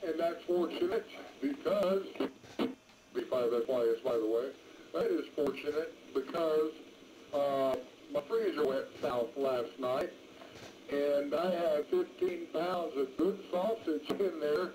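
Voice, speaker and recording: A man's voice: on the high side, takes it slowly, a little mellow. A man chatting casually over two-way radio in a folksy, rambling way as an amateur radio operator. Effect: two-way radio transmission. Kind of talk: casual ham radio chat. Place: mobile, in a pickup truck. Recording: noisy ham radio transmission.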